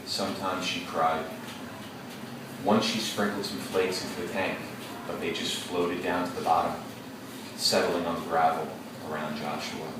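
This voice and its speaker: A deep-voiced male teacher reads a story aloud to his class, slow and deliberate, pausing to think aloud and ask questions. He is heard from across a very echoey classroom, with steady noise behind him. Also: distant mic.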